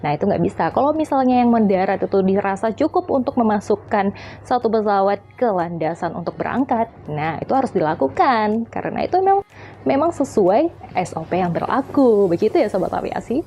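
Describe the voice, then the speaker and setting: A woman with a soft, mellow voice explains things as a channel host. Her delivery is casual and colloquial, with a playful, jokey streak and a habit of acting out small examples. Her pitch sits in a middle range for a woman. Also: lively intonation, a little fast, slight background noise. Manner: playful, jokey, acts out little dialogues to illustrate points.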